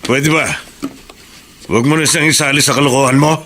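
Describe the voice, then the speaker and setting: A man's voice: fairly high pitch, fairly even intonation, a little fast, noisy background, natural voice. A man playing a stern, protective older prison mentor in a radio drama, firm and fatherly. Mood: stern warning. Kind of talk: Filipino radio drama acting.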